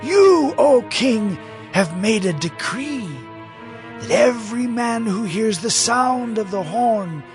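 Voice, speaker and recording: Slimy court accuser in a bible drama, really high swoopy voice, slow and flattering, music behind. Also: male voice, exaggerated voice. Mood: obsequious accusing. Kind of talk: dramatized scripture audio drama. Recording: music under the voice.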